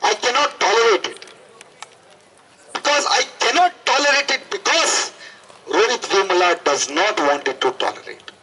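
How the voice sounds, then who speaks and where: A young Indian-accented man addresses a protest as a student activist. His voice is high and forceful, swinging widely in pitch, and he drives points home with emphatic repetition. It is picked up from a handheld microphone with slight noise behind. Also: rough recording. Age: young adult. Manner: emphatic, repeats phrases for effect. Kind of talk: impassioned protest speech. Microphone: handheld microphone through a PA.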